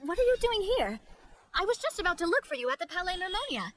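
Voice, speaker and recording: A woman's voice: extremely high pitch, big pitch swings, fast talker, a bit bright, a little hiss or hum, exaggerated voice. A woman playing a confident, playful young woman with underworld contacts in a video game, breezy and knowing. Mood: brisk, knowing. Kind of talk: video game dialogue acting.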